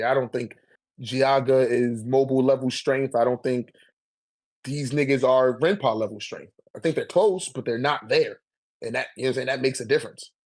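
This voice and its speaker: A man in a casual debate, talking in heavy slang with swearing and a repeated check that the listener follows. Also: medium pitch. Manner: slangy, profane, repeats "you know what I'm saying". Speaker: debater on a manga ranking podcast.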